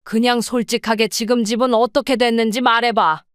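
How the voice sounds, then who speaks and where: A woman plays a steady mother in her seventies who has made up her mind, her voice smooth and clear and pitched a touch high. It is a dry, close audio drama recording with no room sound. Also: fairly quick, dry recording, close to an everyday voice. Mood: firm. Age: 70s. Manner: plain, steady and resolute.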